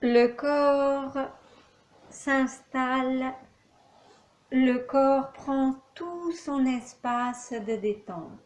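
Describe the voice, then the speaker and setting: A woman leading a guided yoga nidra relaxation as an instructor, speaking calmly in short, measured phrases with long pauses. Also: sits high, poor audio.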